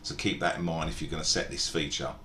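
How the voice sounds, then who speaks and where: A man narrating an informal step-by-step software tutorial in a methodical, explanatory way. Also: flat delivery, quick pace. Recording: echoey room, background hiss and rumble.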